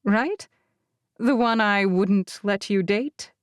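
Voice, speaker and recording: A woman voicing a stern, proud, wealthy old grandmother in an audiobook novel, speaking in a deliberate, probing way. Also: medium pitch, smooth, dry recording, clean studio sound, lightly acted. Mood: resigned, probing.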